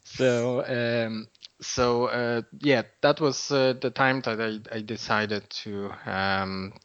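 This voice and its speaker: A man's voice: medium pitch, fairly even intonation, slow, fairly bright tone, smooth. A man answering interview questions in a hesitant way, full of fillers and repeated words. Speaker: nonprofit founder, guest. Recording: dull lo-fi audio.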